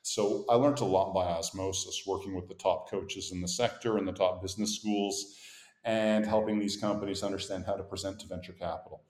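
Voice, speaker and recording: Low-voiced Canadian man in echoey room. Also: fairly even intonation, darkish tone. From grew up in Montreal, born in Boston. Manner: measured, articulate storyteller with occasional fillers. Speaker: guest, company founder and CEO. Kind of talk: interview conversation.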